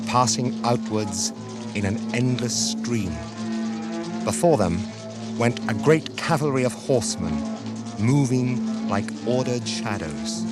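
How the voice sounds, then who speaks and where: An actor playing a grave storyteller, narrating an epic fantasy radio drama in a solemn, measured, formal manner. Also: male voice, on the low side. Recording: music under the narration.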